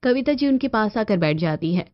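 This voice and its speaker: A woman's voice: medium pitch, animated, moderate pace. A woman reading scripted narration for a cartoon family story. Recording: dull lo-fi audio over music.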